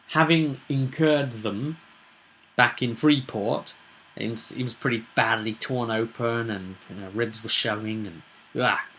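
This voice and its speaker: A man casually recapping a tabletop roleplay session as game master, telling it in a halting, emphatic way with dramatic pauses. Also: medium pitch, pushed and bright.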